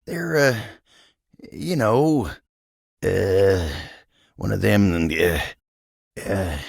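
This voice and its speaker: An actor voicing a bashful, folksy, old-fashioned talking table in a video game, with a gravelly voice and an American Southern, Western-style drawl. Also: male voice, lowish voice, dry recording, clean studio sound, exaggerated voice. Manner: folksy, rambling, flustered hesitations. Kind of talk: video game character dialogue.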